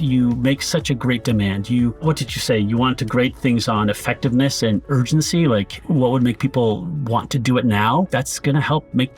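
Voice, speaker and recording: A man speaking as a podcast guest, giving conversational advice. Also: mid-range voice.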